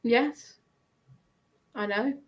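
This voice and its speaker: A woman's voice: fairly high pitch, drawn-out words. A British woman chatting casually about politics, making her points emphatically. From United Kingdom.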